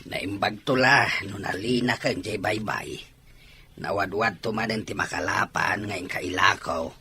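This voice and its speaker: A man playing a patient, weary village fisherman father, resigned and gentle, in a radio drama. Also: fairly low pitch, a little slow. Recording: clearly noisy, outdoor ambience behind.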